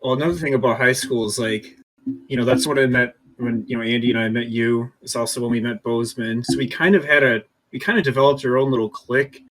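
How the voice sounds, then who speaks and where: Casual man with a mid-pitched voice. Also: fairly even intonation, fast, fairly bright tone, low-quality recording. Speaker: podcast co-host. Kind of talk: casual chat.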